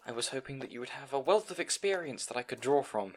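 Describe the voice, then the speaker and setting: A man's voice: medium pitch, animated, quick pace, slight background noise, close to an everyday voice. A man playing a timid, bookish young scribe in an audio roleplay, speaking to the listener in an anxious, hesitant, stammering way. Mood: incredulous, nervous.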